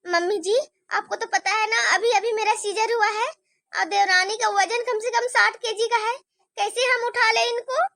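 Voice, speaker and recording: Woman doing a sneaky fake-sweet daughter-in-law, super high bright voice, dry mic. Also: fairly even intonation, normal speed, no echo, exaggerated voice. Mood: defensive. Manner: fake sympathy, sly whispered asides to viewers. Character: scheming elder daughter-in-law, sly and two-faced. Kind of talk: homemade family drama skit.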